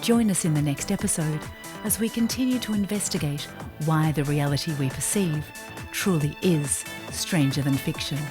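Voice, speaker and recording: Low-voiced female announcer over music. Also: moderate pace. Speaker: podcast announcer. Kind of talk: scripted podcast outro. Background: music under the voice.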